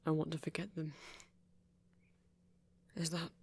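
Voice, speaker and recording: Casting: soft-spoken male vampire acted for ASMR drama. Wounded, tender, unsure; slow, breathy delivery. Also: medium pitch, monotone. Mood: unsure, sad. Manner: soft, breathy, tentative, trailing off. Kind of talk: intimate ASMR audio roleplay.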